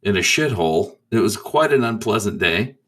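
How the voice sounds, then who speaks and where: A man roleplaying in a tabletop game, telling his story in a halting, laid-back, profane way. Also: lowish voice, animated, a bit bright. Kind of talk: casual in-character storytelling.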